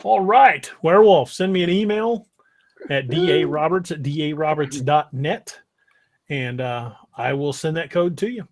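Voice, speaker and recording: Male podcast host, pitched a little high; casual, folksy, halting livestream delivery.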